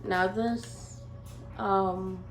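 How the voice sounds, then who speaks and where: Nasal stuffy-voiced woman with a gravelly edge. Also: medium pitch, very slow, soft background music. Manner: relaxed, hesitant with many ums. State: nasal from a head cold. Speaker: gameplay commentator. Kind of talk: casual let's play commentary. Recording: echoey room with faint ambience.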